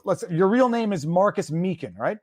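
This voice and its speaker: A man's voice: high voice, animated, moderate pace, a little mellow, dry recording, clean studio sound. A man from Quebec hosting a livestream interview, chatty and rambling, firing off many questions. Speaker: host.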